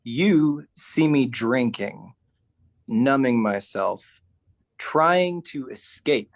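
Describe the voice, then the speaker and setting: A man performing a withdrawn, lonely figure in a theatrical spoken-word monologue, with halting, deliberate phrasing. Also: medium pitch, lively intonation. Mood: brooding.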